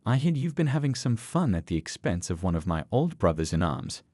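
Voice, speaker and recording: A man's voice: sits low, big pitch swings, brisk, darkish tone, breathy edge, dry recording, exaggerated voice. A man voicing a commanding old veteran biker leader with a stern, authoritative manner in a narrated story.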